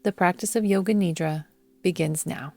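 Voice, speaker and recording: A woman guiding a yoga nidra meditation in a soothing, measured manner, leaving long pauses between phrases. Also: lowish voice, vocal fry, a little mellow, dry recording. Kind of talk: guided meditation narration. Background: background music.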